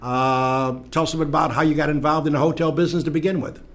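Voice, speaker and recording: A man's voice: a little high, steady tone, fast. A middle-aged man hosting a local talk show, chatty and rambling, with many fillers.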